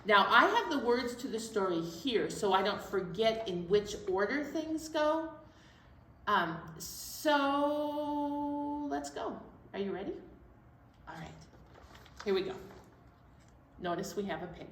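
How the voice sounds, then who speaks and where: A woman's voice: a little high, expressive, slow, pauses often, fairly bright tone. A woman hosting children's storytime, talking casually and simply to young children. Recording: echoey, distant mic, faint noise.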